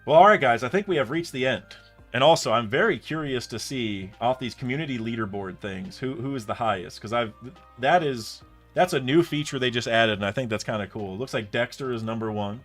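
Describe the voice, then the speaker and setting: A man's voice: medium pitch, brisk, fairly bright tone, soft background music. A young man chatting casually on a live stream, thinking aloud in rambling sentences full of restarts and fillers. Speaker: streamer reacting to a comic chapter.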